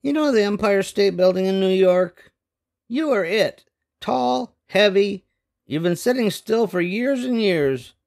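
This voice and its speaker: Older guy playing imaginative restless storybook kids, boys, really expressive, up and down all over, bit gravelly. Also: high-pitched, unhurried, heavily acted. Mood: grand, coaxing. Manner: expressive, dramatic. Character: pair of restless, imaginative young cartoon boys. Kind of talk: animated storybook read-aloud with character voices.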